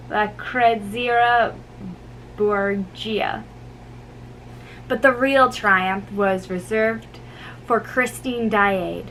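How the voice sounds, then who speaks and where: A woman giving a dramatic amateur book reading, halting and stumbling over difficult names. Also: a little high, fairly bright tone, low-quality recording. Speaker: amateur reader. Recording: faint background noise.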